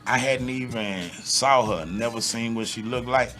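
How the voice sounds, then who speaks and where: A man with a raspy voice and a drawl swaps stories in a loose, slangy podcast conversation. His tone is bright and forceful, and faint music hums underneath. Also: mid-range voice, unhurried. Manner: animated storytelling, slangy. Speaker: podcast co-host, rapper. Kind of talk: casual chat.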